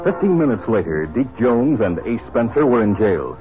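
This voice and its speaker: A man's voice: fairly low pitch, big pitch swings, moderate pace. A man with an American accent, narrating dramatically as a 1950s radio announcer. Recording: muffled 1950s radio recording. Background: music.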